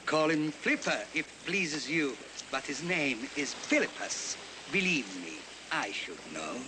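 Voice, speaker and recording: Expressive Greek seafaring man. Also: a little high, measured pace. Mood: genial. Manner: expressive, theatrical, storytelling delivery. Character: warm, whimsical Greek seafaring man devoted to dolphins. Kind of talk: acted television drama dialogue. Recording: noisy outdoor waterside ambience.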